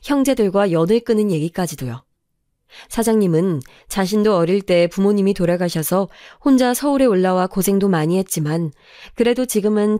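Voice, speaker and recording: A woman reading a first-person life story aloud in a calm, even storytelling manner. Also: medium pitch, dry recording, clean studio sound. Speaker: story narrator.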